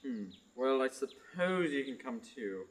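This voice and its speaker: A man's voice: mid-range voice, lively intonation, measured pace, slight background noise, close to an everyday voice. A young man playing a vain, pompous swashbuckling knight with grandiloquent speech in a radio play. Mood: gallant. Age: teenager to young adult.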